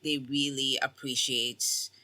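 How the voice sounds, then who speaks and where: A woman's voice: a bass voice, flat delivery, takes it slowly, bright, forceful tone, poor audio. A young woman, a travel professional speaking as a podcast guest in an emphatic, affirming way. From Ghana. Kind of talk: casual interview chat.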